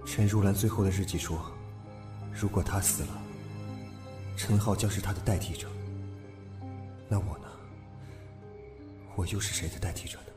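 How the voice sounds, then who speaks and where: A man's voice: deep voice, fairly even intonation, normal speed, pauses often, music in the background, natural voice. An actor playing a level-headed, talkative young man among friends in an audio drama. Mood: grave, reasoning. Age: young adult. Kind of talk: Chinese audio drama acting.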